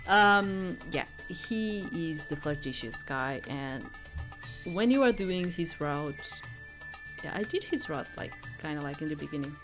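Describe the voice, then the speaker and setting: A woman doing casual game commentary and reading dialogue aloud, halting and amused in her asides. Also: deep voice, animated, over music. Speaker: game player.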